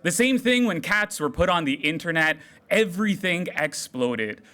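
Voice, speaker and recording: Loud, animated man on stage. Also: a little high, normal speed. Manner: loud, animated, jokey with the crowd. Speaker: developer relations speaker. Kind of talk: energetic live tech talk. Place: large room at a hackathon event.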